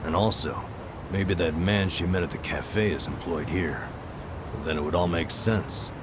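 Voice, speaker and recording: Casting: male actor as gruff, level-headed game companion, deep voice, dry delivery, urban noise behind. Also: moderate pace, lightly acted. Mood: musing. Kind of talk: English game dub acting.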